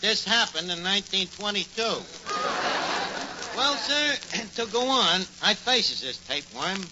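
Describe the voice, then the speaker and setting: New york tavern blowhard, high excitable voice all over the place, bragging, noisy old radio comedy. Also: male voice, measured pace, lo-fi sound. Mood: blustering, excited. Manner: blustering, malapropism-prone, mangled grammar. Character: boastful bumbling tavern manager, a tall-tale-spinning phony. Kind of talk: 1940s radio comedy acting.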